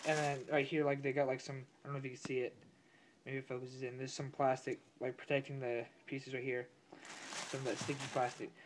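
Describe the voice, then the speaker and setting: A young man with a slightly raspy voice from being sick, casually talking through an unboxing at his desk with lots of fillers and self-corrections. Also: medium pitch, a bit bright, a little hiss or hum. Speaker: tech unboxing vlogger. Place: home room at a desk.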